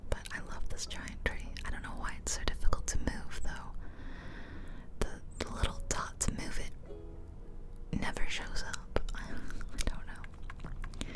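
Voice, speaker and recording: Female ASMR host, fully whispered and breathy, close-miked, for gentle, casual game commentary. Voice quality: soft, breathy unvoiced whisper. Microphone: close ear-to-ear ASMR microphone.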